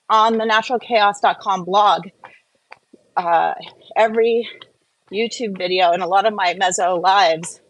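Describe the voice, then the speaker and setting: A woman's voice: fairly low pitch, poor audio. A woman hosting a casual live Q&A, talking in an informal, rambling, chatty way. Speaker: live-stream host.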